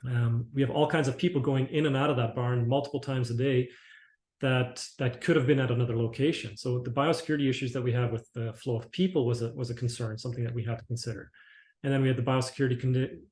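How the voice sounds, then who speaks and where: A man's voice: medium pitch, fairly even intonation, quick pace, a little mellow. A man from Canada giving a webinar presentation for his company, rambling with many restarts and fillers. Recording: echoey room.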